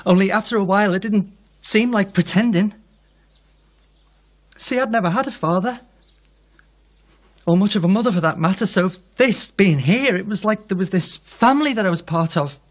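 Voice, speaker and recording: An actor playing a remorseful, needy impostor son in a radio drama, speaking earnestly and confessionally. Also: male voice, high-pitched, moderate pace, long pauses. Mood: wistful confession.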